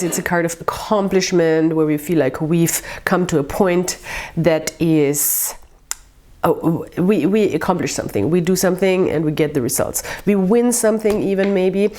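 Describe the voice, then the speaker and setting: Low-voiced woman with a stuffy nose. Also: a little hiss or hum. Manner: dreamy, unhurried, lots of ums, warm reassurance. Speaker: tarot reader. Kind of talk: casual tarot reading to camera.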